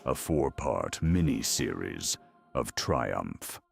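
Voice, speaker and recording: A man voicing a grandiose, solemn movie trailer announcer with a gravelly voice and pompous, melodramatic delivery. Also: extremely low pitch, big pitch swings, slow pace, soft background music, heavily acted. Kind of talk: melodramatic film trailer narration.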